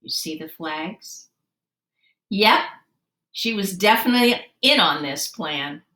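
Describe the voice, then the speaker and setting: A know-it-all schoolboy from a storybook, acted by a woman, easily exasperated and emphatic. The voice is bright and animated, with indignant stresses. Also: medium pitch, slow. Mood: curious. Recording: roomy, echoey sound.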